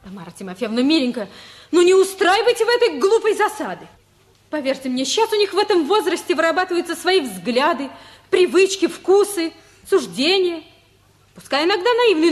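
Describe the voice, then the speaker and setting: A woman's voice: extremely high pitch, expressive, brisk. An actress playing an idealistic young wife who pleads eagerly and earnestly with her elders in a radio play. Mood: pleading. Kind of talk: stage-style radio play acting.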